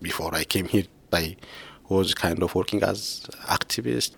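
A man in his thirties from Ethiopia, soft-spoken and laughing often as he talks with his former co-advisor. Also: sits low, normal speed, creaky, breathy edge. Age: 30s. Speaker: former PhD student, interviewee. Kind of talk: casual conversation.

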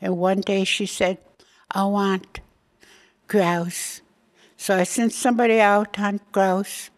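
A woman in her eighties from Alaska, slowly telling her life story with many pauses. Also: medium pitch, fairly even intonation. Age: 80s. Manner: slow, halting storytelling with pauses. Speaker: elder interviewee.

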